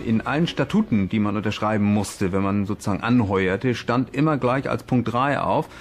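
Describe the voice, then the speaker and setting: A man's voice: lowish voice, moderate pace, soft background music. A man explaining things as an expert in an unscripted interview.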